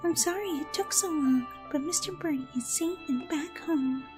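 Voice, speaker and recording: Cartoon pegasus mare voiced by a woman: tiny, very high, soft and sweet, timid and kindly, music underneath. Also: normal speed, natural voice. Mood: timid concern. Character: gentle, timid young female pegasus pony. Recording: music bed behind the voice. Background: instrumental music.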